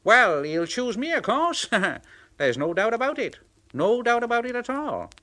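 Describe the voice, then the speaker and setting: A man's voice: very high-pitched, very animated, measured pace, bright, forceful tone, pitched above the performer's own voice, heavily acted. A man voicing a vain, boastful male red engine in a smug manner.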